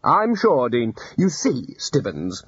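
A man doing a booming, bluff old wizard chief. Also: wildly swooping pitch, fairly bright tone, slightly rough, no room sound, heavily acted. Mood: hearty. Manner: hearty, brisk, booming. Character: bluff loud elderly male wizard leader. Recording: dull lo-fi audiobook recording.

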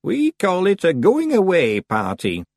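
An ancient, stern witch, performed by a male narrator for a storybook. The voice is lifted high and swings widely in pitch, while staying calm, unhurried and matter-of-fact. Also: no room sound, clean studio sound, full character voice. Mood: brisk. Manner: commanding, precise, unhurried. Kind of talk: audiobook character voice.

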